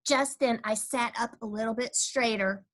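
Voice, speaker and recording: A woman's voice: fairly high pitch, moderate pace, fairly bright tone, lightly acted. A woman voicing a cheeky, stubborn kindergarten girl who tells her own story with childish, emphatic, dramatic delivery. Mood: indignant, confiding. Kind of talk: first-person children's storybook reading.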